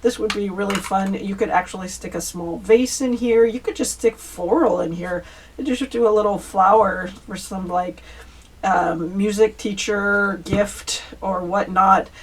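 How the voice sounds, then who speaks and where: A woman's voice: mid-range voice, a little slow, slightly rough. A woman hosting a casual step-by-step craft tutorial, chatty and informal as she talks to viewers. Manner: chatty, informal, addresses viewers as you guys. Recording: distant mic, faint background noise.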